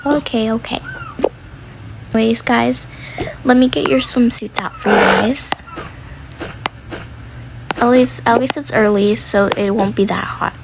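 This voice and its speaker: A woman's voice: fairly high pitch, measured pace, gravelly, long pauses. Busy, practical mom voice acted by a woman, noisy audio. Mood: concerned, practical. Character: busy, practical, mildly stern mother of kids.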